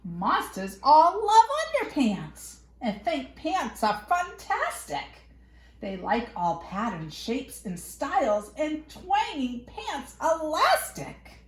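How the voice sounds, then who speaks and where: A woman reading a picture book aloud to young children in an expressive, playful way with dramatic emphasis. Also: sits high, takes it slowly. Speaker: children's storyteller. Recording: echoey room.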